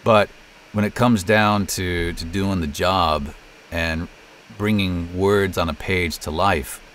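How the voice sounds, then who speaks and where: Very deep-voiced middle-aged American man. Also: steady tone, slow, a bit bright, a little hiss or hum. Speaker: interview guest, voice actor and narrator. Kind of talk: casual interview, telling career story.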